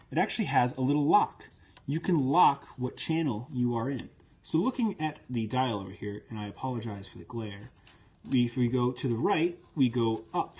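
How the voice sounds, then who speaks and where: A man's voice: medium pitch, darkish tone. A man presenting an informal hands-on demonstration, explaining things step by step in a plainspoken way. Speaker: presenter demonstrating vintage military radio equipment.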